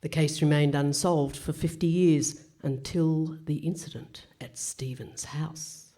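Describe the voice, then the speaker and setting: A woman's voice: deep voice, steady tone, measured pace, mellow. A woman playing a melodramatic narrator of a comic mystery in a mock-dramatic radio play, recorded live. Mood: ominous. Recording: crowd noise behind, live hall.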